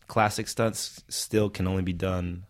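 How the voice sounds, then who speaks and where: Laughing, animated man with low voice. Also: unhurried. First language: Vietnamese. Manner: animated, laughs often, acts out quotes. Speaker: stunt performer. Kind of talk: casual film discussion.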